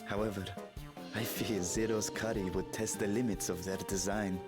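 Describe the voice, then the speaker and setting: A man voicing a serene dragon ruler in humanlike form for a video game, speaking in a formal, measured and courtly manner. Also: fairly low pitch, fairly even intonation, natural voice. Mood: gracious. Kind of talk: scripted video game dialogue. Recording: music behind the voice.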